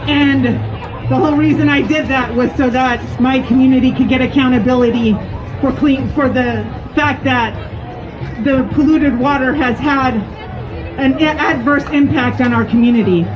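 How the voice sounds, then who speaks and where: A high-voiced speaker addresses an outdoor rally in a halting way, stopping and restarting often. The sound is dull and lo-fi. A crowd and music are heard behind. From Fort Peck, Montana, United States. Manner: halting, with frequent restarts and fillers. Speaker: scientist and activist speaker. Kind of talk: personal speech at a rally. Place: outdoors at a street protest.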